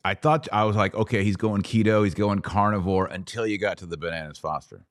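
A man's voice: lowish voice, fairly even intonation, a little fast, no echo, clean studio sound. A man hosting a podcast, interviewing in a casual, conversational style. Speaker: podcast host.

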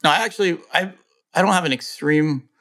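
A man's voice: fairly high pitch, brisk, a bit bright. Brief: English-born man in his forties, laid-back host, rambles through questions, says "yeah" a lot. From England. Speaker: podcast host. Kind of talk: casual interview talk.

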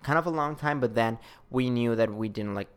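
A young man from Chile, a podcast guest, talking casually and haltingly with many fillers. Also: mid-range voice, clear, resonant tone. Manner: halting, filler-heavy, laughing easily. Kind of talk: casual chat.

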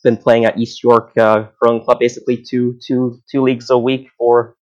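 A man's voice: mid-range voice, flat delivery, moderate pace. A man from Hong Kong, in his thirties, talking casually as an interview guest, with many fillers and restarts. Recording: dull lo-fi audio.

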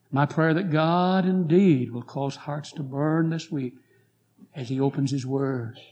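Hoarse man preaching a revival sermon. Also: a little high, poor audio. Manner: rising to fervent pleas, then quiet prayer. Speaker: guest preacher. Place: church sanctuary.

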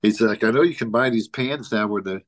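A man's voice: lowish voice, fairly quick, dry recording, studio quality. A man co-hosting a casual talk show, telling an anecdote in a rambling way with lots of "you know" and frequent chuckles. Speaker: podcast co-host.

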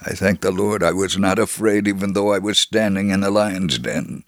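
Low-voiced man reading an earnest testimony. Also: normal speed, no room sound, studio quality. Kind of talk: reading a first-person testimony aloud.

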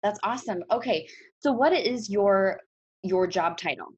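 A woman's voice: medium pitch, measured pace, slightly rough, muffled recording. A woman hosting a podcast, reading a scripted intro and then chatting casually with her guest. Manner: warm upbeat interviewer, lively rises. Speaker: podcast host.

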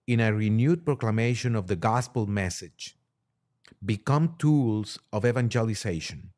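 A man speaking as a priest and podcast guest, giving religious commentary in a halting, conversational way with repeated words. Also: medium pitch, a little mellow.

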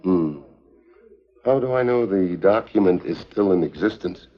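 A tough American secret agent from a 1950s spy series. His voice sits low, and he talks in a wry, hard-boiled, unruffled way. The old soundtrack is dull and narrow. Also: animated, a little slow. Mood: probing. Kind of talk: 1950s television spy drama acting.

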